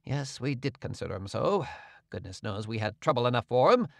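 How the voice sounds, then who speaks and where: A gruff, bossy swindler in a storybook reading, as a male narrator puts him on, with a lively, swooping voice that turns low and threatening. The sound is dry and close. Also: a little fast, no room sound. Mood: grumbling. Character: scheming middle-aged male swindler, gruff and menacing.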